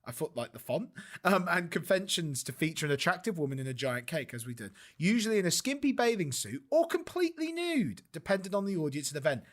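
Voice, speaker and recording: A man's voice: a little high, very animated, brisk. A young man co-hosting a podcast, chatting casually as he reads out history notes and laughs at them. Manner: chatty, laughing, reading aloud and commenting. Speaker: podcast co-host.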